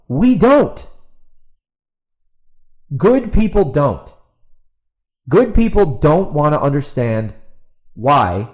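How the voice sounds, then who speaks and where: A man with a mid-pitched voice delivers an unscripted solo podcast rant. He sounds exasperated and sarcastic, repeating himself for emphasis and firing rhetorical questions at someone who is not there. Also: darkish tone. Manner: rhetorical questions put to an absent opponent. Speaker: podcast host and author. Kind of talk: ranting opinion monologue, off the cuff.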